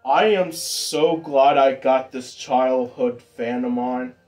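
A man giving a casual, informal hobbyist demonstration in an unhurried, rambling way. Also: mid-range voice, a little mellow, soft background music. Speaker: ceiling fan hobbyist vlogger. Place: indoor room with exposed pipes and ductwork.

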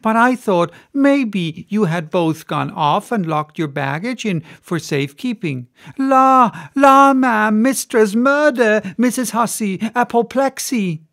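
A man's voice: fairly high pitch, lively intonation, unhurried, hardly any pauses. A man voicing a flustered young woman chambermaid in an audiobook, with breathless, rattled chatter. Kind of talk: audiobook character voice.

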